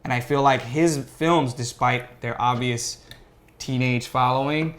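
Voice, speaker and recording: A man's voice: medium pitch, fairly even intonation, unhurried, a bit bright. A man in casual, opinionated podcast conversation, speaking with emphasis as one of the panelists. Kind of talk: casual chat.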